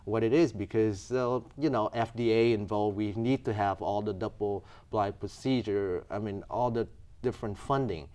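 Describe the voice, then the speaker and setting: Low-voiced man from Vietnam, explaining earnestly. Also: steady tone, normal speed, dark tone. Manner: earnest, explaining step by step, non-native grammar. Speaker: physician guest. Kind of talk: explanatory interview answers.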